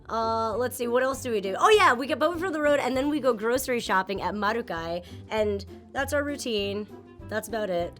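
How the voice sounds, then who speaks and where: A young woman from Japan, whose first language is Japanese, answers viewer questions in an upbeat, chatty way. Her voice sits a little high, with faint music playing softly behind her. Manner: chatty, upbeat, with small laughs and fillers. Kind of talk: casual Q and A vlog.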